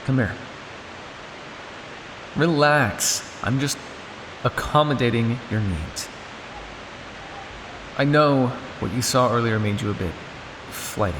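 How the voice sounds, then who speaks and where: A man's voice: medium pitch, slow, long pauses, big-room sound, background noise, lightly acted. A man playing a cynical, world-weary vampire scientist on the run in an intimate, condescending ASMR roleplay monologue. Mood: teasing, grudgingly gentle. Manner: sardonic, condescending, with exasperated sighs and dry asides.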